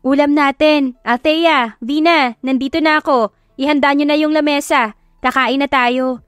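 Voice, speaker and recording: A woman voicing a feisty young eldest sister in an audio drama, sassy, grumbling and expressive. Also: high voice, normal speed, dry recording, studio quality, faint music behind, voice pushed up, close to an everyday voice. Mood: cheerful.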